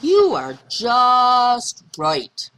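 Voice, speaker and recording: A woman voicing an earnest little girl in a children's storybook, expressive and emphatic, drawing out her words. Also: fairly high pitch, drawn-out words, voice pushed up, exaggerated voice. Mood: playful. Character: earnest little girl telling about her beloved puppy. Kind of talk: children's storybook character reading.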